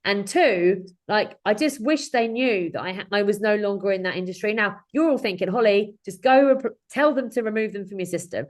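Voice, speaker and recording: A woman's voice: mid-range voice, brisk, hardly any pauses. A woman in her thirties talking informally as a webinar host on a video call, chatty and rambling with frequent self-corrections. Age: 30s. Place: indoor room at home or office, on a video call. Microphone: video call microphone.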